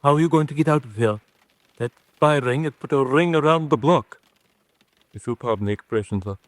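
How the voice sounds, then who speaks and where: An actor playing a deferential, anxious manservant in a radio drama, formal, polite and a little fussy. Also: male voice, mid-range voice, brisk, dry recording, natural voice. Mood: worried, polite. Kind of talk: old-fashioned radio drama acting.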